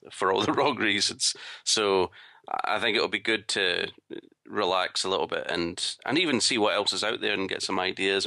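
A man chats as a podcast co-host with a wry, joking manner. His voice is low yet bright and crisp-edged, captured in a very dry recording. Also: no room sound. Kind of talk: casual podcast chat.

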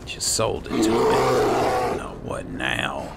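A man talking in character in an unhurried, muttering way as he plays through a fantasy video game. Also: very deep voice, big pitch swings. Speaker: gamer playing in character. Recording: clearly noisy, game ambience behind.

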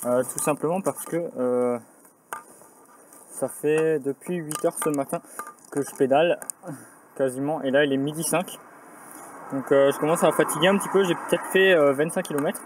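A man's voice: on the high side, fairly even intonation, normal speed, long pauses. A young man casually narrating his cycling trip to a handheld camera, chatty and self-mocking with many fillers, a bit tired. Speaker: cycling vlogger. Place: outdoors in a village and a forest, inside a small bunker. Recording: outdoor camera audio with clear ambient noise.

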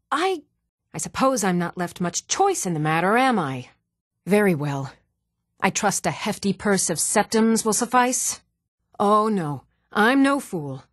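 An actress voicing an even-toned young Nordic townswoman and warrior in a fantasy video game, measured and clearly spoken. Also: mid-range voice, no echo, clean studio sound. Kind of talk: scripted video game dialogue.

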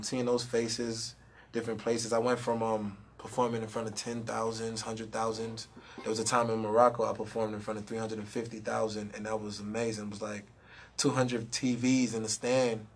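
A man's voice: on the low side, level tone, moderate pace. A young man, a rapper, chatting casually in an interview with a handheld mic in a studio room, laid-back and full of "you know what I mean". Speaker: rapper guest.

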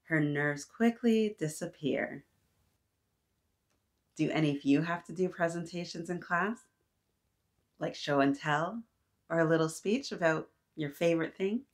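Woman, thirties, children's story-time host, slightly low pitch, animated, encouraging, chatting directly to young listeners. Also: a little slow, long pauses. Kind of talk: read-aloud for young children. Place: small decorated indoor room.

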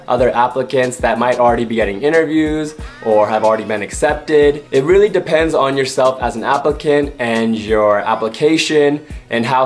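A young man in his twenties with a slightly hoarse voice, talking casually to camera at home and giving friendly advice. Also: medium pitch. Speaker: vlogger. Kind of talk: casual vlog talk to camera. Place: small room at home, indoors.

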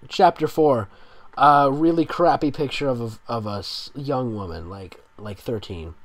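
A man's voice: medium pitch, measured pace, dry recording. A man reading fan fiction aloud in a dramatic style, with sarcastic, exasperated asides. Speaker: fanfic reader and commentator.

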